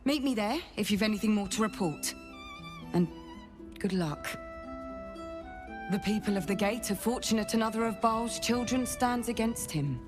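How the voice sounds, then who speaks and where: An actress playing an earnest, dogged city guard investigator in a video game, with an intense, deliberate, confiding delivery. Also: female voice, mid-range voice, lively intonation, lightly acted. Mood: grateful, solemn. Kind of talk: dramatic game dialogue. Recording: background music under the voice.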